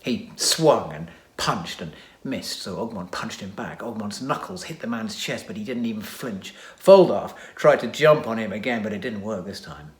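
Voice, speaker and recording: Low-voiced man reading a story dramatically. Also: normal speed, few pauses. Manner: expressive, dramatic storytelling with hushed asides. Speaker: narrator. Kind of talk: reading a children's story aloud. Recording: echoey room.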